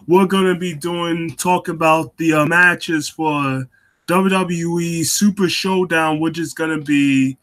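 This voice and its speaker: A young man hosting a casual talk show on his own, getting over a cold and coughing now and then, halting with lots of ums and restarts. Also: on the high side, fairly bright tone. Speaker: wrestling show host.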